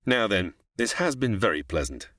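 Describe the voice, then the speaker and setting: A man's voice: fairly low pitch, very animated, moderate pace, fairly bright tone, no echo, studio quality. Man acting a formal, guarded middle-aged scientist. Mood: genial, dismissive. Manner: formal, articulate, theatrical. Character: cultured, guarded middle-aged scientist, widowed father on remote planet. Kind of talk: old-time radio sci-fi acting.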